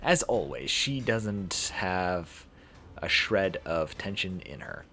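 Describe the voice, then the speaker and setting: A man with a low voice reads a visual novel aloud on a stream. He goes very slowly and haltingly, dramatising the text and correcting himself, with lively swings in pitch. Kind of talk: reading a visual novel aloud with ad-lib asides.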